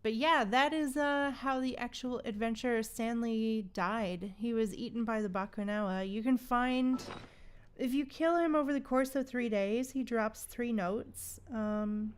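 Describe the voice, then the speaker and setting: A woman's voice: on the high side, a little slow, clear, resonant tone. A woman chatting casually as she livestreams a video game, with playful exclamations and slang. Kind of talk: casual livestream gameplay chat. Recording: game music behind the voice. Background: video game music.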